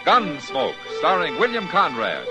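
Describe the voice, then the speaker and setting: Lively American radio announcer man over music. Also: fairly high pitch, a little slow. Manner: dramatic, declamatory. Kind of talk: 1950s radio announcing, scripted.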